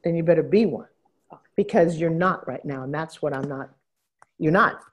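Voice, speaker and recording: Bossy teasing woman coaching on stage. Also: low voice. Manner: bossy, teasing, quick interruptions. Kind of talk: live seminar coaching. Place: event stage with audience.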